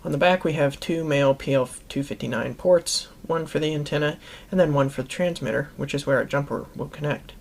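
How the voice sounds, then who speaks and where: A man's voice: on the high side, a little fast, vocal fry, hardly any pauses. A man hosting a how-to video, giving instructional narration to viewers. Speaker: host of a how-to product video series.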